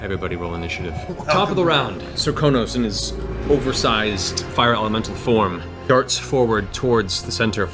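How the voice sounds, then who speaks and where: A man's voice: fairly low pitch, animated, fairly quick, music in the background. A man narrating a tabletop fantasy game as game master, with dramatic, descriptive storytelling.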